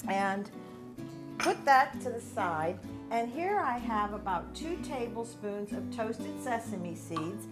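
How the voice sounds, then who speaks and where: A woman's voice: fairly high pitch, slow, loud music behind the voice. A woman in her sixties, a chef, demonstrating a breakfast recipe step by step in a home kitchen on a lapel mic. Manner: instructive, steady walkthrough of steps. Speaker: cooking segment chef. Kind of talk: cooking demonstration.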